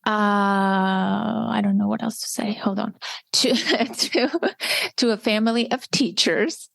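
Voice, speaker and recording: A woman's voice: medium pitch, slow pace, vocal fry, fairly bright tone, no echo, studio quality. A woman in her forties from Armenia, speaking candidly and reflectively in a personal conversation. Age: 40s. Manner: reflective, candid, with frequent restarts. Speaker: podcast host. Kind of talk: personal conversation, answering intimacy questions.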